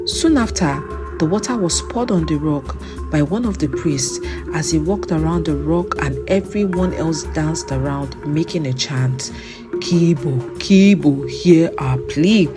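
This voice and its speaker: A woman calmly narrating a scripted folktale for children. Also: sits low, unhurried, music in the background. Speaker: storyteller.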